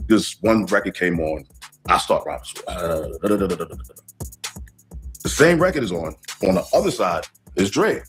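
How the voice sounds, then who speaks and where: A man's voice: low-pitched, expressive, moderate pace, low-quality recording. A man in a documentary interview, reflectively telling how he got into music. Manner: reflective, casual storytelling. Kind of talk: recalled life story.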